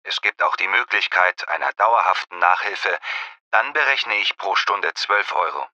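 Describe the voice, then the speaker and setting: A man's voice: low voice, expressive, moderate pace, pushed and bright, dry recording, full character voice. A man playing a young, matter-of-fact student tutor in a scripted language-lesson dialogue. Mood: businesslike. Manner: businesslike, obliging.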